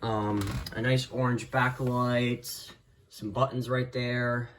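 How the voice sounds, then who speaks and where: A man's voice: mid-range voice, level tone, slow pace, a bit bright, slight background noise. A man casually presenting a collection in a hesitant, rambling way that often trails off. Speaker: video creator showing a radio collection. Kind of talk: casual collection showcase chat.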